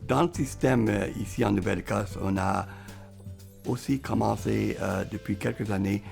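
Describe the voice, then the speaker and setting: A man's voice: lowish voice, moderate pace, creaky, darkish tone, soft background music. A man, speaking in a documentary interview about schools, hesitant with many fillers.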